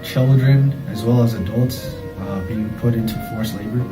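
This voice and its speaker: A woman's voice: extremely low pitch, moderate pace. An eighteen-year-old woman offers short, tentative guesses in an interview. Her voice rings in a large echoey hall, with music underneath. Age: teens. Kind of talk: casual interview answers. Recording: echoey hall, distant mic.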